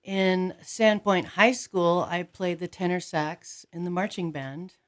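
A woman with a low voice talks as a guest music teacher in a casual interview. She speaks in an enthusiastic way. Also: fairly even intonation, slow pace.

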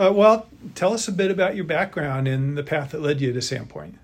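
Husky-voiced male interviewer. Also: a little high, lively intonation, a little fast. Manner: friendly, conversational questioning. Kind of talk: radio-style interview.

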